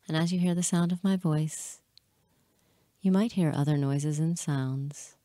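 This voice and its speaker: A woman leading a hypnotic guided meditation in a soothing, measured way, pausing often between short phrases. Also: sits low, dark tone, dry recording. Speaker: hypnotist guiding listeners.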